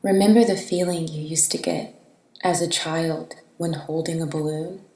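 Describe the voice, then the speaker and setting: Female meditation narrator, lowish and gentle, very unhurried delivery, reverberant sound. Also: steady tone. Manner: soothing, gentle, deliberate with long pauses. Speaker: meditation guide. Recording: reverberant, distant-sounding voice over soft music.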